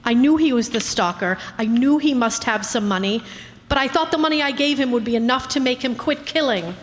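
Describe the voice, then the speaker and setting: A woman's voice: fairly high pitch, fairly quick, lightly acted. A woman playing a defiant, scheming prisoner who shields her brother in an old-time radio drama, aggrieved and insistent. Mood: pleading, insistent. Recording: reverberant hall, faint background noise.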